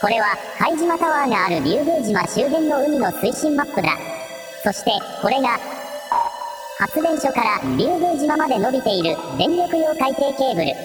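A woman's voice: high voice, animated, moderate pace. A woman voicing a dutiful technical helper who explains in a matter-of-fact way with clipped replies, in a radio drama. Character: dutiful tech-savvy helper Steve, shy before royalty. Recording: echoey hall reverb, music behind.

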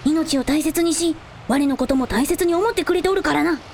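Very high-pitched boyish dragon prince. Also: female voice, a little fast, darkish tone, a little hiss or hum. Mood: earnest. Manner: old-fashioned lordly speech with childlike openness. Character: young dragon prince in human form, archaic-talking, earnest, boyish. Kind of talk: Japanese radio drama acting.

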